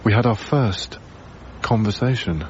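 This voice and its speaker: A man's voice: on the low side, unhurried, a little mellow, a little hiss or hum, close to an everyday voice. Actor as thoughtful young British man, muffled recording. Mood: reflective. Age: young adult. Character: reflective, gentle young male lodger, heartbroken. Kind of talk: BBC radio drama acting, intimate first-person narration. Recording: dull radio broadcast recording.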